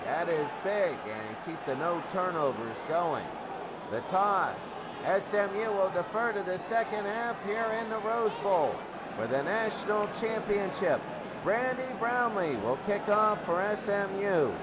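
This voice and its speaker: A man's voice: high voice, animated, a little slow. An American man doing play-by-play football commentary as the announcer. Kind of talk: sports commentary. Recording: very noisy amateur broadcast. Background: loud ambient noise.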